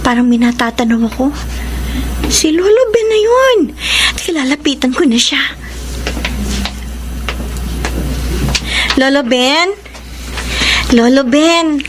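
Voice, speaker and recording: A woman's voice: high-pitched, lively intonation, measured pace, pauses often, background noise. A woman playing an earnest, compassionate young granddaughter in a Filipino radio drama, polite and pleading. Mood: curious, caring.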